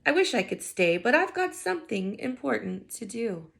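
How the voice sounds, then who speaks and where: An earnest storybook boy of nine, acted out by a woman reader, polite but set on getting his way. The voice is creaky and courteous, with a determined edge. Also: a little high, big pitch swings, moderate pace, a bit bright, exaggerated voice. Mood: friendly, earnest.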